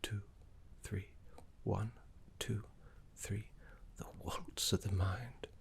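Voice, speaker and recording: A man voicing a gentle, scholarly necromancy teacher in an ASMR roleplay, soft, breathy and half-whispered, with soothing, genteel phrasing. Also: lowish voice, steady tone, drawn-out words, exaggerated voice. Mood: reverent, hushed. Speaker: teacher guiding a student.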